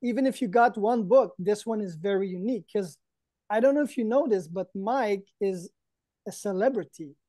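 A man's voice: high voice, moderate pace, dark tone, no echo, clean studio sound. A man in his thirties or forties co-hosting an online event with jokey, mock-earnest banter. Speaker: event co-host. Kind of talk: casual playful banter. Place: indoors in front of a green backdrop, on a video call.

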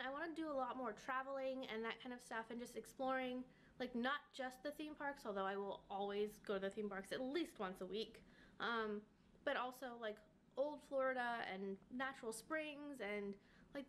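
A woman's voice: a little high, normal speed, fairly bright tone, a little hiss or hum. A young woman in her twenties vlogging casually from her bedroom, chatting with lots of "like" and "um" fillers. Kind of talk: casual vlog talk. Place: bedroom, indoors.

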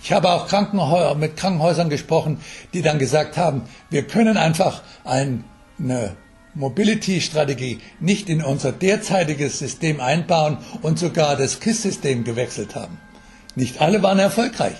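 Older man explaining, fairly high voice. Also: expressive, a little mellow, soft background music. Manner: halting, with English jargon mixed in. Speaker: mobile health expert being interviewed. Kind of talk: interview answers, explanatory. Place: telephone interview.

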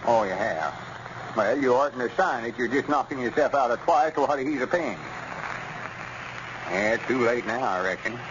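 An actor playing a stubborn old country storekeeper with a rural Southern US accent, folksy and drawling, in a 1930s radio comedy. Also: male voice, medium pitch, lively intonation, normal speed, pauses often, crowd noise, natural voice. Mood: dejected. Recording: muffled, noisy old radio recording.

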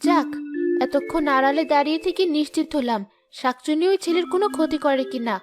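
A stern, forgiving cartoon fairy voiced by a woman with a very high voice. She speaks solemnly and firmly as a guardian, over background music. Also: fast talker, close to an everyday voice. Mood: relenting. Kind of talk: animated cartoon dialogue.

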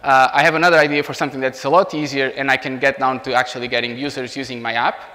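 A man's voice: medium pitch, flat delivery, quick pace, bright, forceful tone. Portuguese-accented man presenting in a reverberant hall. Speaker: software engineer giving a talk. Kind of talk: informal technical presentation. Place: indoor meetup room beside a large screen.

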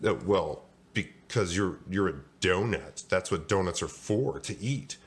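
A man's voice: low voice, expressive, measured pace. A man playing a sheepish, flustered fellow in a children's story, stammering and hesitant. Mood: sheepish, stunned. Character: sheepish, flustered man who eats donuts.

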